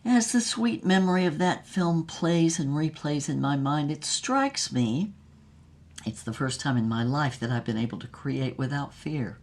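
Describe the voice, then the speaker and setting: Audio drama lead: a languid, self-dramatizing movie star, deep for a woman, very slow and theatrical delivery. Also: lively intonation, darkish tone. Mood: grandiose, exultant. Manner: grandiose, languid, theatrical delivery with drawn-out words. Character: Mynx Devlin, grandiose theatrical female film star reminiscing. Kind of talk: dramatic audio fiction monologue.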